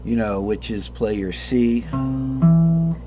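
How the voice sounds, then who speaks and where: A man teaching a casual guitar lesson, hoarse because he is losing his voice, who rambles, corrects himself and often clears his throat. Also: mid-range voice, lively intonation, slow, muffled recording. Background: guitar playing.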